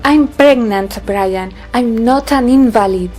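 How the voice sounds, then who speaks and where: A woman acting in a sci-fi audio drama as a resolute, stubborn pregnant pilot, speaking calmly and firmly. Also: fairly high pitch, soft background music. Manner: deliberate, firm.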